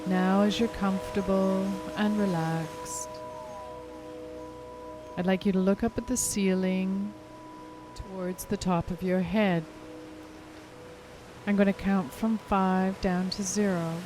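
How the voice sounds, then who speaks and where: A woman guides a relaxation trance with a lowish voice and very slow delivery. Her manner is soothing and lulling, in the style of a hypnosis session. Music plays clearly behind her. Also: darkish tone. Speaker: guided meditation narrator, hypnotherapist.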